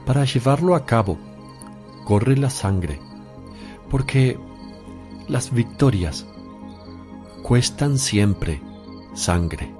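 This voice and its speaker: An actor playing a calm, authoritative holy teacher with a solemn, measured delivery in a religious radio drama. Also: male voice, mid-range voice, soft background music. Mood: solemn, declamatory. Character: Jesus, calm authoritative teacher addressing disciples.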